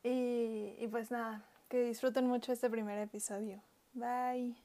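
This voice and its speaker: A woman hosting a podcast, friendly and casual, filling pauses with little words. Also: on the high side, little pitch movement, mellow. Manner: friendly, uses fillers like "este" and "bueno". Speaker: podcast host.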